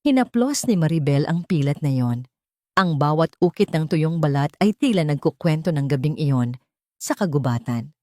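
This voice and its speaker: A woman reading a dramatic scripted story as a narrator, in a measured, solemn way. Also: sits low, a little mellow, dry recording, studio quality.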